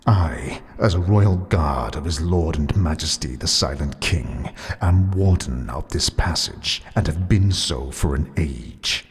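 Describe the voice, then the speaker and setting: A man's voice: rumbling low, expressive, takes it slowly, few pauses, voice pushed down, full character voice. A man voicing an ancient giant skeleton royal guard who speaks in a grave, formal, archaic way. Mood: solemn. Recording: long hall reverb.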